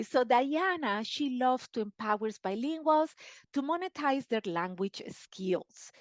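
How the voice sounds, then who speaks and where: A woman's voice: high-pitched, no room sound. Effusive woman hosting a dry webinar. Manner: effusive, chatty, with many fillers. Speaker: webinar host. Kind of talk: live online webinar hosting.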